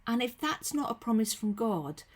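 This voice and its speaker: A woman with a cold, her voice husky and croaky, giving a gentle, chatty devotional talk from her room into her computer. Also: on the high side, big pitch swings, moderate pace. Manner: gentle, chatty, with frequent ums and soft asides. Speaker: faith leader giving a short devotional. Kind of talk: casual devotional talk to camera. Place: her own room at home. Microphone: computer webcam.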